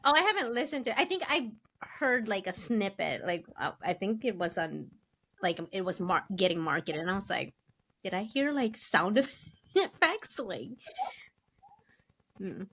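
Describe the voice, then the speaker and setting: Animated woman co-hosting a casual chat. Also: medium pitch, measured pace, a little hiss or hum. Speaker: podcast co-host.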